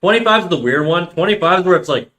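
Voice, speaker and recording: A man's voice: high-pitched, lively intonation, quick pace, a bit bright. A man of thirty chatting casually on a live stream, rambling in slang and reacting to chat messages. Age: 30s.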